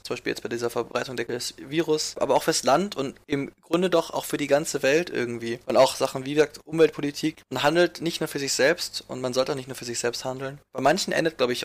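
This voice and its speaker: An earnest young man from the Munich area shares his views in a reflective conversation. He often searches for words and fills the pauses. His voice has a middling pitch.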